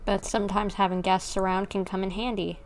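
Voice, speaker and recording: A woman's voice: medium pitch, level tone, fairly quick. A young adult woman giving casual, playful, rambling commentary as she livestreams a video game. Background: faint game music.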